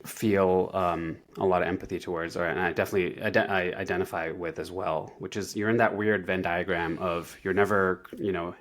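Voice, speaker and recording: A man who teaches karate, speaking as an interview guest in a thoughtful, measured way as he searches for his words. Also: deep voice, steady tone, a bit bright. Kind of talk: casual conversational interview.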